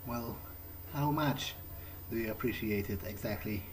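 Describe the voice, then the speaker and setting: Male let's player acting out an awkward, dry vampire: halting, rambling delivery, prominent game music behind. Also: fairly low pitch, close to an everyday voice, rough recording. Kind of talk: in-character improvised roleplay commentary over a video game. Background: video game soundtrack.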